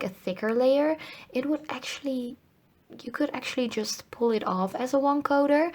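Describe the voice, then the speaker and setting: A woman from the Netherlands giving a casual, chatty review voiceover, her voice slightly cracky from a cold coming on. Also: a little high. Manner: chatty, informal, frequent hedging. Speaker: nail polish reviewer.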